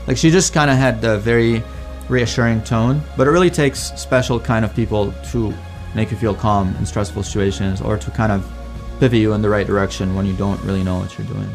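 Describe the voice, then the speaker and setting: A young man from Iraq shares his own experiences in a casual, conversational way, peppered with informal turns of phrase. He speaks as a student giving a testimonial, with music clearly playing underneath him. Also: lowish voice, steady tone, a little fast, hardly any pauses. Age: young adult. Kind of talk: casual personal storytelling. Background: music bed.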